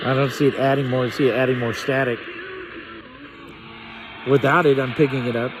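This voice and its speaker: A man's voice: mid-range voice, little pitch movement, brisk, background noise. A man giving a casual, hands-on gadget demo, unscripted and musing aloud as he tinkers. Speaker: vlogger reviewing a radio.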